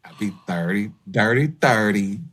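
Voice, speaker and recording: A man's voice: on the low side, lively intonation, a little slow, fairly bright tone, slight background noise. A man in his late twenties from the American South, an upbeat podcast guest who talks in an easygoing way. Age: 20s. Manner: upbeat, easygoing, laughs between phrases. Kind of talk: casual interview talk.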